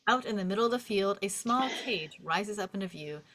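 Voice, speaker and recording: A woman narrating the stage directions at a script table read in an expressive storytelling manner. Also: medium pitch, brisk. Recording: faint background noise and clicks.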